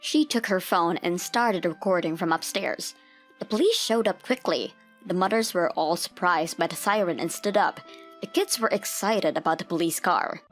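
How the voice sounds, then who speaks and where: A woman narrator with a fairly low voice tells a scripted comic-dub story. Light music plays underneath her. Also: animated, moderate pace.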